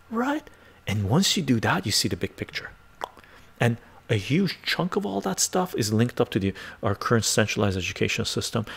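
An older man from western Canada hosting a casual live stream from his home study on a lapel mic, talking emphatically, often adding "right?" and sometimes dropping to a soft whisper. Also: lowish voice, gravelly. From born in Iran, raised in western Canada. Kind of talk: casual live stream talk reading chat. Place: home study lined with bookshelves.

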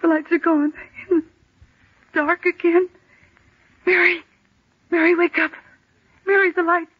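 An actress playing a desperate, frightened woman trapped in the dark, in an intense old-time radio drama style. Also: extremely high pitch, flat delivery, slow pace, dry recording. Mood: terrified pleading. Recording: dull, lo-fi old radio recording.

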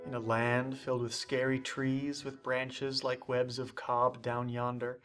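A young man gives a mock-serious dramatic poetry reading, deliberate and theatrical, with weighty pauses and swells. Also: mid-range voice, little pitch movement, a bit bright, soft background music. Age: young adult. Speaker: performer reciting bad poetry. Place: indoors, dark studio stage set.

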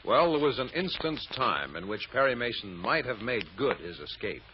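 A man's voice: mid-range voice, sing-song. Dramatic male radio announcer, sweeping intonation. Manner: suspenseful, emphatic, deliberate pauses. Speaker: announcer, narrator. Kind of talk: dramatic scripted radio serial narration. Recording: dull, noisy old radio recording.

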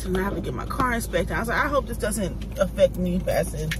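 A woman's voice: medium pitch, lively intonation, a little fast. A woman in her thirties chatting casually to her viewers from a parked car, calling them y'all. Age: 30s. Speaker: vlogger. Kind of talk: casual chat to camera. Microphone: phone or camera on dashboard. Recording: noisy car interior.

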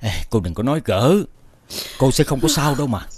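An actor playing a stern but caring, comforting man with a husky voice in an animated folk tale. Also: medium pitch, a little fast. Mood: concerned.

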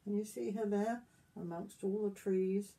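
A woman in her sixties reads a picture book aloud as a granny would to young children, adding gentle questions and asides to them. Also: medium pitch, steady tone, unhurried, slight background noise, low-quality recording. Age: 60s. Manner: gentle questioning, addressing young listeners directly. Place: small wood-panelled garden room, indoors.

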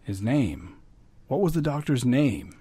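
A man's voice: medium pitch, big pitch swings, measured pace, dark tone, husky. A man playing a dry, patient, teasing police lieutenant in a radio drama recreation.

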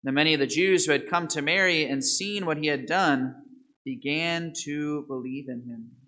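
Bright-voiced priest in a church. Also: male voice, a little high, moderate pace, rough recording. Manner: asks the congregation questions and quizzes children. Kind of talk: gospel reading and homily. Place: large church sanctuary. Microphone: microphone at the altar, far camera.

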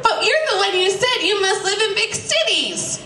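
A woman's voice: very high voice, lively intonation, moderate pace, a crowd in the background, pitched above the performer's own voice. A woman voicing an earnest, naive female spiritual apprentice, melodramatic and pleading. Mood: protesting. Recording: distant echoey room mic, loud background noise.

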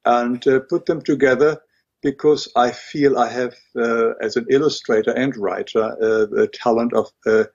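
A man's voice: mid-range voice, breathy edge, lo-fi sound. An older man from Germany with a German accent, speaking as an interview guest, hesitant with many fillers and restarts. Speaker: guest, illustrator and writer. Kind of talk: interview conversation.

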